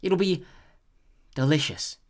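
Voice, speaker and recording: A cold, scheming snow goddess with a quiet, purring voice, as a man acts her out. She gloats over her enemies in a storybook reading, pitched higher than the narrator's own voice. Her words are gentle in sound and icy in intent. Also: takes it slowly. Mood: icy gloating.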